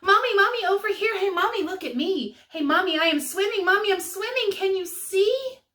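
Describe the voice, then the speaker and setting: A woman's voice: very high voice, expressive, normal speed, sharp tone, echoey room, pitched above the performer's own voice, exaggerated voice. A woman voicing an eager, childlike little boy penguin for a storybook read-aloud. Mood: excited, proud.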